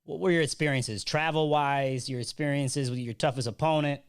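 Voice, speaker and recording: A man's voice: medium pitch, steady tone, normal speed, smooth. A man hosting an interview, introducing his guest and asking encouraging follow-up questions. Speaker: interviewer, host.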